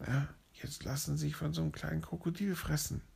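A man voicing a bossy male parrot police officer who scolds officiously, in a gravelly husky voice. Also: fairly high pitch, level tone, fairly quick, darkish tone, voice pushed up, exaggerated voice. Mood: scolding.

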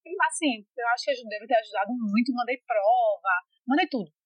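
A woman's voice: a little high, brisk, no room sound, dull audio. A woman from Brazil, telling stories in a casual interview with lots of fillers and restarts. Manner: chatty, animated storytelling with many fillers and restarts. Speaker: interviewee, foreign master's student in France.

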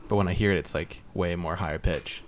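Low-voiced man chatting, faint hiss. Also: steady tone, a little fast. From Canada. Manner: rambling, restarts, musing asides. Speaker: podcast co-host. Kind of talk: casual chat. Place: basement apartment.

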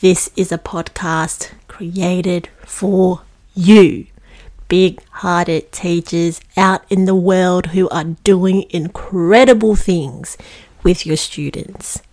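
A woman's voice: on the low side, steady tone, takes it slowly, darkish tone. A woman in her thirties from Singapore, hosting her own podcast as a teacher wellbeing coach in an earnest, reflective monologue on a close mic.

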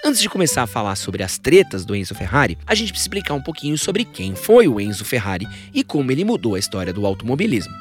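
Fast-talking São podcast host. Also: male voice, medium pitch, wildly swooping pitch, faint music behind. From São Paulo, Brazil. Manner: joking asides, informal. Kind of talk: scripted biographical podcast narration. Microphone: studio podcast microphone.

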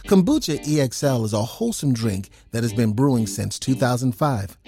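Lively male announcer over a music bed. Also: mid-range voice. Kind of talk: scripted advert and station announcement.

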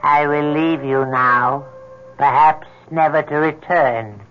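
An actor playing a coldly tempting devil in an old radio drama, with slow, coercive delivery. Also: male voice, on the high side, fairly even intonation. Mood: cold, menacing. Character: sinister devil calling himself the Helper, coldly tempting. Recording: dull radio recording with loud music.

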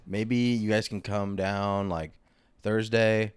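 A man in his twenties chats easily as a podcast guest, agreeable and relaxed, peppering his talk with "dude" and "like." His voice is very deep. The recording is dry and close. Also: slow, smooth, no room sound. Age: 20s. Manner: easygoing, agreeable, says "dude" and "like" a lot. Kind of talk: casual chat.

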